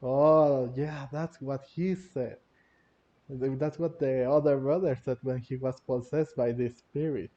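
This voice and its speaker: A man's voice: mid-range voice, steady tone, unhurried, mellow, muffled recording. A man giving casual, giggly commentary as he plays a video game, sometimes reading dialogue aloud. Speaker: gamer commenting on a playthrough.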